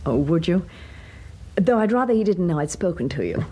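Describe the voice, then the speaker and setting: An actress in a radio drama playing an anxious, well-mannered British wife who speaks in a confiding way. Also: female voice, on the low side, sing-song, a little fast, warm tone, husky. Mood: worried, confiding. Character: anxious, polite middle-class wife fretting over her husband.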